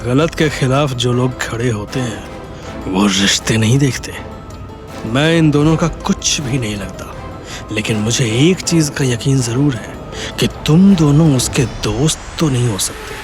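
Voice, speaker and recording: A man voicing a calm, menacing young vigilante hero in a Hindi audio story, deliberate and coolly taunting. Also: medium pitch, music in the background, lightly acted. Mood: cool, confident.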